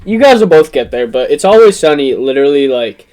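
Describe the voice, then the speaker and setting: A man's voice: on the high side, big pitch swings, fairly quick, darkish tone, poor audio. A young American man from Pennsylvania in casual podcast chat with friends, rambling emphatically and swearing freely. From Pennsylvania, United States. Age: young adult. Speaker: podcast co-host.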